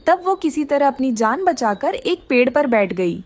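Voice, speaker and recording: Woman narrator for a kids cartoon story, really high voice, scripted storytelling. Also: very animated, moderate pace, darkish tone.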